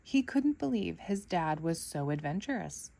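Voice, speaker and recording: A woman storyteller reading a children's book aloud in an expressive narrating style for kids. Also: lowish voice, normal speed, gravelly.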